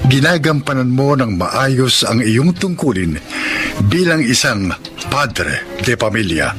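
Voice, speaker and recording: A male narrator closing a radio drama, speaking slowly with a lively rise and fall in his voice. Clear music plays underneath. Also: medium pitch. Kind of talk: scripted narration.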